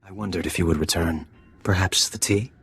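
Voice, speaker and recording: A very gravelly, solemn alien. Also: male voice, deep voice, fairly even intonation, brisk, a bit bright, full character voice. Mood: courteous. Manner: stilted, formal, terse phrasing. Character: solemn male alien native, formal, reflective, gentle. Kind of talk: video game cutscene acting.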